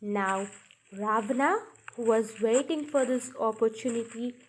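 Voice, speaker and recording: A woman narrating a children's story from a script, in deliberate, halting phrases with pauses. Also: fairly high pitch, a little hiss or hum. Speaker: storyteller narrating a puppet show.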